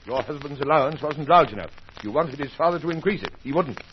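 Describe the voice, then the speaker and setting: A man's voice: a little high, animated, fast, natural voice. A man playing a shrewd, grave doctor who investigates a crime in an old-time radio mystery. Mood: accusing. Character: shrewd doctor sleuth, grave, certain, accusing. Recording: muffled, noisy old radio recording.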